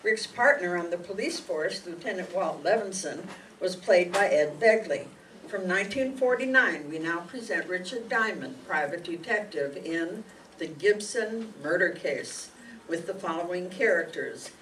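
Lower-voiced older woman presenting. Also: animated, unhurried, fairly bright tone. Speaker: presenter introducing radio plays. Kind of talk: scripted introduction. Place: meeting room stage before an audience. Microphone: handheld microphone, recorded by audience camera. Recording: distant mic, noisy hall.

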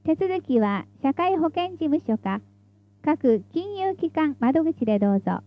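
A woman's voice: sits high, very animated, unhurried, no echo, muffled recording. A woman reading scripted tram announcements in a formal, polite, carefully enunciated way, as the stop announcer.

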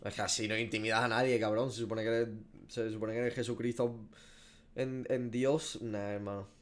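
A man's voice: lowish voice, level tone, normal speed, a little hiss or hum. A man in his twenties with a Spanish accent from Spain, giving slangy, sweary reaction commentary as a gaming streamer. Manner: informal, slangy and sweary, thinking aloud. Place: small home room.

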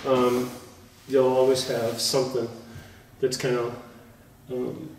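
A man's voice: medium pitch, completely flat delivery, slow. A man in his forties, a realtor walking through empty rooms and talking casually and a bit hesitantly as he shows the house. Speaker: realtor giving a house tour. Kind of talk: casual walk-through tour talk. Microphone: camera mic at a few metres. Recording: echoey bare rooms, distant mic, noisy.

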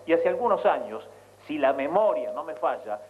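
A man's voice: on the high side, very animated, moderate pace. A man with a Rioplatense Spanish accent telling radio anecdotes as an announcer on a live link. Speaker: radio announcer and guest correspondent. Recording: muffled, noisy live radio link with hum.

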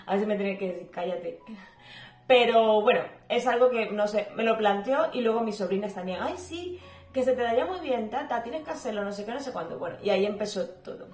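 A woman's voice: on the high side, lively intonation, brisk, a little mellow. A woman, chatting casually to camera in a home Q&A vlog, talkative and full of fillers. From Cuba. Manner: chatty, talks a lot, frequent fillers. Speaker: home vlogger. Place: bedroom at home, indoors. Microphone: camera at desk distance. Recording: echoey room with faint music.

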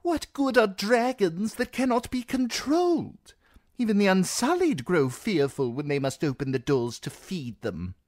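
A man voicing a fawning male seneschal with a shrill, whining voice. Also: sing-song, unhurried, darkish tone, dry recording, studio quality. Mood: pleading.

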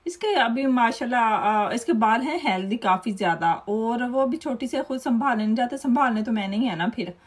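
A woman's voice: on the high side, lively intonation, moderate pace, hardly any pauses. A woman, chatting and telling stories over her home video. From Pakistan. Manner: chatty, storytelling, laughs often. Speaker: vlogger.

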